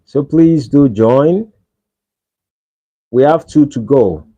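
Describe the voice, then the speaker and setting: A man's voice: mid-range voice, expressive, takes it slowly, mellow, slightly rough. A man from Nigeria with a Nigerian accent, hosting a casual livestream and pleading with viewers for likes. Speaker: livestream host. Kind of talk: casual live-stream chat. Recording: dull lo-fi livestream audio.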